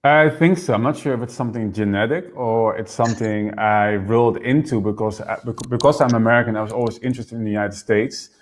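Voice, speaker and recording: A man raised in the Netherlands, speaking as an interview guest and explaining politics quickly, often repeating words as he goes. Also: fairly low pitch, fairly even intonation, a little hiss or hum. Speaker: political party member, guest. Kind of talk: interview conversation.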